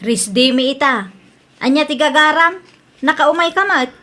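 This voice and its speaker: Ilocano woman, high voice, disapproving daughter who lectures, audio drama. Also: moderate pace, bright, forceful tone.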